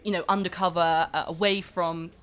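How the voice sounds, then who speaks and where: A woman as a comedy podcast guest, giving earnest, deadpan explanations in a mock celebrity interview. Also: fairly low pitch, normal speed. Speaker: comic interview guest playing an actress.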